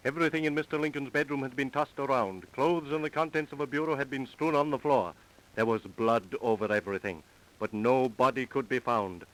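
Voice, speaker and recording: A man's voice: medium pitch, fairly bright tone, natural voice, poor audio. An American man acting as the solemn, dramatic narrator of a 1930s radio crime drama, reading from a script. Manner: formal, dramatic. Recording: vintage 1930s radio recording.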